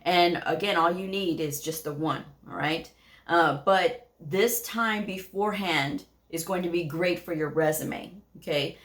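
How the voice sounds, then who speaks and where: A woman's voice: lowish voice, a little slow, a bit bright. Emphatic woman giving career advice. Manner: direct and emphatic, says "okay?" and "guys" often, laughs lightly. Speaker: medical coding educator and channel host. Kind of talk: casual advice monologue to viewers.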